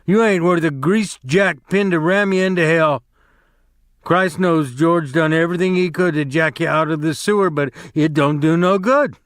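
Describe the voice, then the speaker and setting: A man reading aloud, voicing a giant imaginary rabbit that sneers and taunts in rough, folksy speech. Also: fairly high pitch, moderate pace, no echo, studio quality, voice pushed up, natural voice. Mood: taunting.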